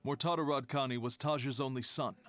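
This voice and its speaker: A man playing a cautious, formal archive manager in a video game, speaking in a measured way. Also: medium pitch, steady tone, fairly bright tone, faint music behind, lightly acted. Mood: grave, informative. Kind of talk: scripted video game dialogue.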